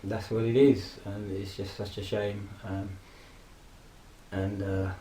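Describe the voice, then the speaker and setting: A man in his forties, hoarse from a throat infection, casually rambling and reminiscing with frequent fillers and laughs. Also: fairly low pitch, level tone, takes it slowly, dark tone, roomy sound, slight background noise, poor audio. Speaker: vlogger. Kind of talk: casual personal tribute monologue.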